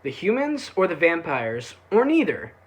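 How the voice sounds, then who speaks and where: Casual recap narrator, sarcastic, irreverent, sweary, highly animated pitch. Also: normal speed. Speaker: YouTube anime reviewer.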